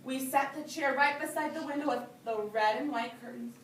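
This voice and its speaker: A woman's voice: high-pitched, a little fast. A woman teacher reading a storybook aloud to young children and talking it over, patiently and simply, repeating their answers back. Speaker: preschool or early grade teacher. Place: indoor room with young children. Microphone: phone or camera at a distance. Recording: roomy, distant phone video with noise.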